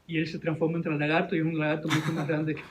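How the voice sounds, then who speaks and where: A man with a Venezuelan accent telling a story informally in an interview, halting and repeating his words. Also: on the high side, completely flat delivery, background noise. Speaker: interview guest, animation director and teacher.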